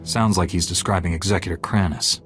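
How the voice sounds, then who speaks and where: An actor playing a righteous Jedi knight in a video game, firm, earnest and controlled. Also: male voice, deep voice, fairly even intonation, a little fast, music in the background, natural voice. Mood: businesslike. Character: resolute, righteous Jedi knight, male hero. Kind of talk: dramatic video game hero acting.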